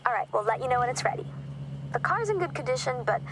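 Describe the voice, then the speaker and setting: A woman's voice: fairly high pitch, big pitch swings, quick pace. A woman playing an upbeat, friendly car enthusiast in video game cutscene dialogue. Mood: friendly, practical. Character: excited young female car enthusiast, upbeat barn-find partner. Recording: vehicle and ambient noise behind.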